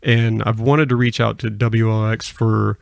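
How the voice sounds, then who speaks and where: A man's voice: fairly low pitch, level tone, unhurried, vocal fry, dry recording. Male podcast interviewer, conversational and rambling, level intonation, dry sound. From US Gulf Coast. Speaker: podcast host.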